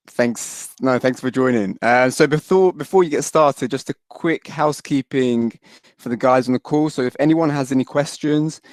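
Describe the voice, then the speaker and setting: A man's voice: medium pitch, normal speed, no room sound. A man hosting a webinar, introducing the guest and explaining housekeeping in a casual way, often saying "uh" and "so". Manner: casual, fills with "uh" and "so". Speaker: webinar host. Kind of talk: moderating an online webinar. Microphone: video call.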